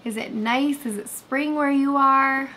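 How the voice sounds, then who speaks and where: Slightly hoarse woman chatting on livestream. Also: sits high, a little slow, sharp tone. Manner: chatty, reads chat aloud, many fillers. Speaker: livestream host. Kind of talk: casual livestream chat. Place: bedroom, sitting in bed. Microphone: webcam framing.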